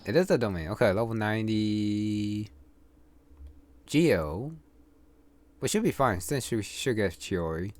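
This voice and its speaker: A low-voiced male gamer talks through gameplay casually. He muses aloud in an unscripted way, with faint music behind him. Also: a little mellow. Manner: unscripted, musing aloud to himself. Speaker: gamer, streamer. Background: game music.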